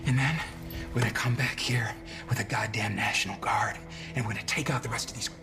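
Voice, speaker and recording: A man playing a weary, determined lawman in a video game, talking in a hushed, urgent, sweary way to a rookie. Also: medium pitch, fairly quick, lightly acted. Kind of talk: naturalistic video game acting. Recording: loud music and effects behind.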